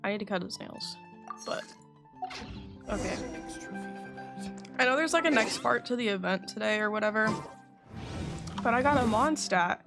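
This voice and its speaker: A woman livestreams a video game in a casual, rambling chat. She speaks at a middle pitch and drops the odd swear word. Faint music plays behind her. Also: animated, normal speed, pauses often. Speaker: game streamer.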